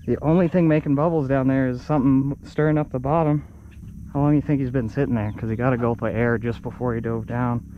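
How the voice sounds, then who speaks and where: A man's voice: mid-range voice, fast, narrow, tinny audio, a little hiss or hum. A young man on a small boat filming his fishing trip, chatting and exclaiming as he fights a catch. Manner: excited, exclaiming over the catch. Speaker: fishing vlogger. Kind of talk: casual chat while fishing. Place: small boat on open water outdoors.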